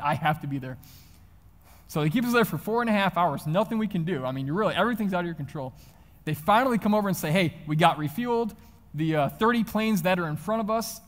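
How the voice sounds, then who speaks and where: High-voiced American man speaking in a reverberant hall. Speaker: motivational speaker. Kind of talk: public talk with personal anecdotes to an audience. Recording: reverberant hall, live stage sound.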